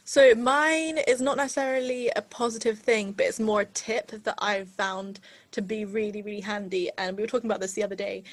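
A woman in her twenties co-hosting a podcast, telling stories in a soft-spoken, earnest way. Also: mid-range voice, fairly even intonation, brisk, dry recording. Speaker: podcast co-host. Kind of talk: casual chat.

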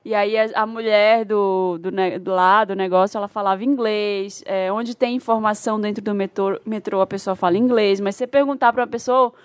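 A woman's voice: mid-range voice, steady tone, a little fast, few pauses, clean tone, no echo. A Brazilian woman co-hosting a podcast, telling a story in casual chat and often restarting her sentences. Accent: Brazilian Portuguese. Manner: chatty storyteller, trails off and restarts. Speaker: podcast co-host.

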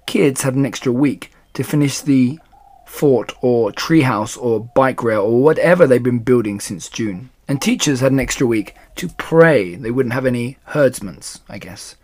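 A man reading a children's storybook aloud in an expressive style. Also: mid-range voice, unhurried. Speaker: storybook reader.

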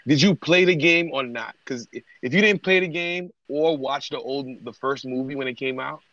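A man co-hosting a casual chat show, bantering excitedly and laughing often. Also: medium pitch, moderate pace, no echo, muffled recording. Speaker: podcast co-host.

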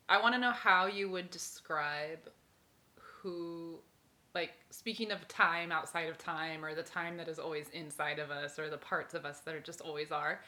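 Female host, low-pitched but bright and forceful voice, probing and playful questioning. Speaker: podcast host, interviewer. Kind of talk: casual conversational interview.

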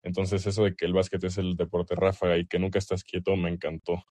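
A young man with a low-pitched voice and a Mexican accent speaks casually, as a player being interviewed. The sound is dry, with very little room around it. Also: monotone, fast, darkish tone, no echo. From El Salvador.

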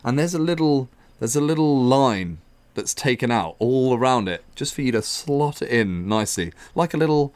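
A man's voice: moderate pace, clean tone, dry recording. A young man telling a story in casual podcast chat, acting out the other people's lines as he goes. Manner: animated, acts out quoted voices with rising pitch. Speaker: podcast co-host.

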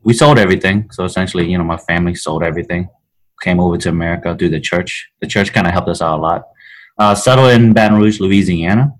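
A man in his thirties from Vietnam talks through his life story as a podcast guest. His voice sits very low, and he rambles in an easygoing way, full of fillers. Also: fast, rough recording. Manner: relaxed storytelling with many fillers. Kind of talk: casual chat.

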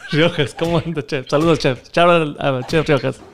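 A man's voice: fairly high pitch, steady tone, normal speed, slight background noise. A man with a Mexican accent hosting a casual, bantering interview, talking in slangy, profane, teasing style. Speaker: podcast host and fellow cook.

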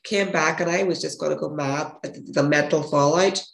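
A woman with a gravelly, hoarse voice, a podcast guest telling a rambling story and correcting herself as she goes. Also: deep voice, a little fast. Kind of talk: casual interview storytelling. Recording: echoey room.

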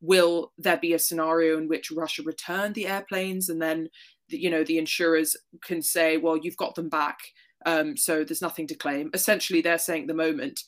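A woman guest on a podcast, explaining a news story in a relaxed way with frequent ums. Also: low voice, normal speed. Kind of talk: casual explanatory chat.

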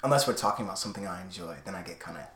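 A young man co-hosting a casual podcast, moving between a loud projected voice and quieter, lower talk. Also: racing, echoey room, crowd noise. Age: young adult. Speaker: podcast co-host. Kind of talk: casual chat.

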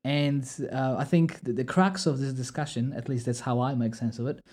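Guy talking theology on a podcast, mellow low-key tone, walks through it step by step. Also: moderate pace. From Poland. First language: Polish. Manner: thoughtful, explaining step by step with asides. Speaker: podcast co-host explaining theology. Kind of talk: casual explanatory chat.

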